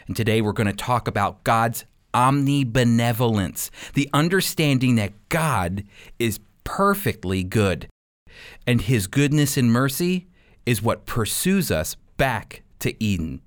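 A man's voice: medium pitch, lively intonation, measured pace. A man hosting a radio show and teaching the Bible, emphatic and repeating key points for stress.

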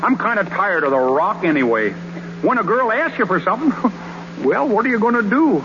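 An actor playing a bashful, fumbling young ballplayer in 1930s radio comedy, stammering folksy excuses in a halting way. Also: male voice, high-pitched, sing-song. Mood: evasive, flustered. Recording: noisy, dull 1930s radio recording.

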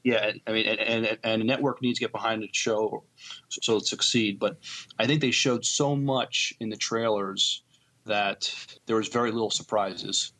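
A man's voice: on the low side, fairly even intonation, moderate pace, pushed and bright, slight background noise, low-quality recording. A man co-hosting a podcast in casual discussion. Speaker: podcast co-host.

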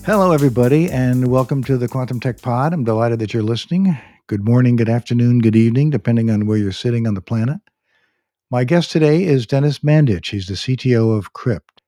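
A man's voice: mid-range voice, brisk, mellow, no echo, clean studio sound, faint music behind. A man hosting a tech podcast, formally introducing his guest and asking long, polite questions. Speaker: podcast host. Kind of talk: interviewing a guest.

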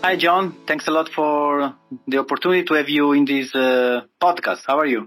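A man hosting a podcast interview in a halting way, often repeating and rephrasing his phrases. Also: on the high side, fairly even intonation, a bit bright, faint music behind. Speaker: podcast host.

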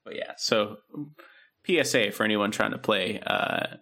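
A man's voice: fairly low pitch, steady tone, measured pace, bright, forceful tone. A man co-hosting a podcast, chatting casually and rambling with many fillers and restarts. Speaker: podcast co-host. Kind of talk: casual chat.

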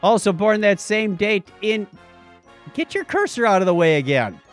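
Man, high-pitched, lively, joking, conversational podcast host. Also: normal speed, faint music behind. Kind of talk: casual banter, reading birthdays and news.

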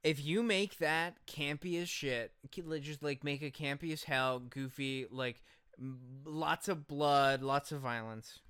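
A man's voice: a little high, steady tone, measured pace, fairly bright tone, clean tone, no echo, studio quality. A man co-hosting a podcast, giving opinionated reactions in casual chat, emphatic and sweary, often repeating himself. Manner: emphatic, repetitive, casually profane. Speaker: podcast co-host.

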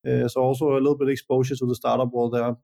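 A man from Denmark, speaking English as a non-native speaker, calmly answers interview questions as a guest entrepreneur with frequent "uh" and "like" hesitations. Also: medium pitch, gravelly. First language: Danish. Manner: measured, many "uh" and "like" hesitations.

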